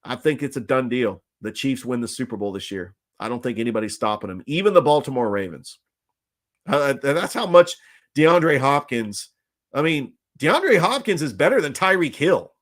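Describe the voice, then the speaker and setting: A man's voice: medium pitch, very animated, moderate pace. A man hosting a solo sports talk podcast, opinionated and ranting, his voice strained and nearly gone.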